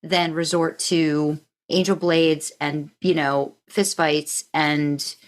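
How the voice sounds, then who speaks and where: Lower-voiced woman hosting a casual chat. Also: steady tone, slow pace. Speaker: podcast host. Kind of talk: casual conversational discussion.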